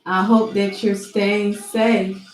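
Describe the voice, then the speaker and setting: A woman giving a tarot reading who talks slowly and deliberately, sniffling from allergies, and calls on spirits in a measured, invocation-like way. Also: medium pitch, little pitch movement, roomy sound, faint music behind, rough recording. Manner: slow, soft and deliberate, with an invocation-like cadence. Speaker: tarot card reader.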